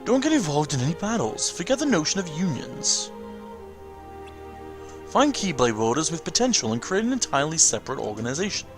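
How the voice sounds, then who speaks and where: A mysterious master from a video game , as a man puts him on, theatrical and instructive. The voice is a touch high and moves freely up and down. Music plays softly behind it. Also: big pitch swings, fairly quick.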